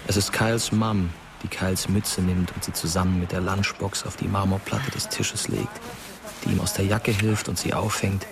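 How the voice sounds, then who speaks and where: An actor as the sober storyteller of a German crime radio drama, giving scripted third-person narration. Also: male voice, sits low, little pitch movement, moderate pace, vocal fry, noisy background.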